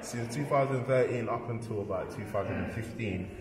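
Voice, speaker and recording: A man in his thirties from Sweden, a painter talking casually and reflectively about his work, with halting restarts. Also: on the low side. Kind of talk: casual interview chat. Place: indoor art gallery with pillars. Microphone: camera mic at close range. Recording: echoey gallery with loud music behind.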